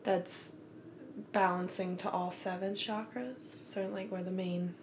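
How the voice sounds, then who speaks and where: A woman co-hosting a podcast, soft-spoken and reassuring, her voice often trailing into quiet murmurs. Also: on the low side, steady tone, takes it slowly, background noise. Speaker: podcast co-host. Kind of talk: casual chat.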